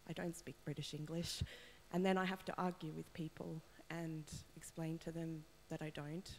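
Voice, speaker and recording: A woman's voice: low voice, flat delivery, normal speed, a little mellow, a little hiss or hum. A woman in the audience asking a question at a seminar, hesitant with many ums and a wry edge. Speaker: audience member. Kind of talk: question from the floor. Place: lecture room. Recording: distant voice with long reverb in a lecture hall.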